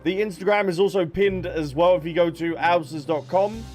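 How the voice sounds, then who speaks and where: A man's voice: high voice, moderate pace, darkish tone, soft background music. A man livestreaming a game, chatting casually and playfully as he reads and answers viewers. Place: behind: game music.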